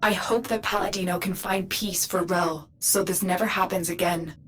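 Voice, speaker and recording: A woman playing an authoritative mentor who guides a warrior in a video game, commanding and measured. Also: lowish voice, steady tone, close to an everyday voice. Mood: concerned, questioning. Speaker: mission guide. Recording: loud noise behind the voice.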